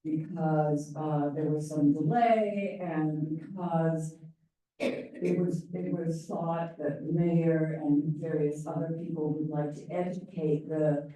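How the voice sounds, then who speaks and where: An older woman with a loud, mellow, lowish voice, talking to a meeting in a chatty, rambling way. She sounds far from the mic in a very echoey room. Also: fairly even intonation, takes it slowly. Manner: chatty, self-deprecating, wandering asides. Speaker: volunteer presenter on ballot issues. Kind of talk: informal public briefing.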